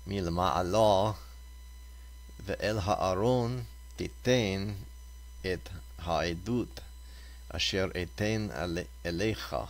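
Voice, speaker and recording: A man reading Torah scripture aloud as a reader, deliberately, in short separated phrases. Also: sits low.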